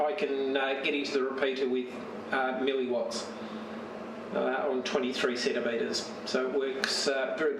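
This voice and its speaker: A man giving a casual, unscripted show-and-tell as a radio hobbyist, speaking haltingly and searching for words. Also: on the high side. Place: home radio shack. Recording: roomy, distant mic with steady noise.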